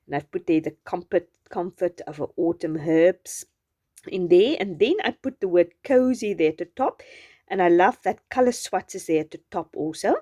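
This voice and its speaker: A South African woman whose first language is Afrikaans, chatting gently through a journaling craft voice-over. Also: lowish voice, moderate pace. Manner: gentle, chatty, meandering with restarts. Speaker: journaling channel host.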